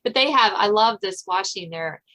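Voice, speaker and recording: A woman's voice: medium pitch, animated, moderate pace, pushed and bright, poor audio. A middle-aged woman, chatting casually as the host of an author interview.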